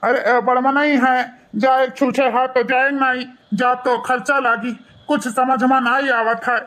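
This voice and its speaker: A man plays an old, poor village father in a cartoon, full of fretting and care. His Awadhi-accented voice is bright and tense, with an artificially raised pitch. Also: flat delivery, unhurried, heavily acted. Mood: worried. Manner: fretful, plaintive.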